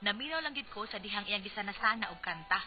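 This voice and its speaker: An actress playing a candidate's exasperated, loyal wife in a comic Cebuano radio drama, with an expressive, scolding manner. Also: female voice, medium pitch, fast, music in the background, close to an everyday voice. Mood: conversational. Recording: dull lo-fi radio broadcast.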